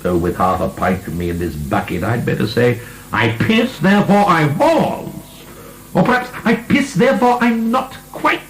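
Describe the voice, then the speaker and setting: An actor playing an imprisoned French marquis in a radio drama, an imperious, mocking, obscene libertine with a theatrical, grandiose delivery. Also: male voice, medium pitch, lively intonation, normal speed, soft background music, lightly acted. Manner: theatrical, grandiose, chuckling, swinging into shouts. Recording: echoey stone-room radio drama.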